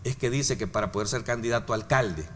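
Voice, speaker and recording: Salvadoran man, talk show guest, mid pitch, argues in step-by-step examples, faint noise behind. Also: quick pace. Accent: Salvadoran Spanish. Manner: argumentative, gives worked examples. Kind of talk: political discussion.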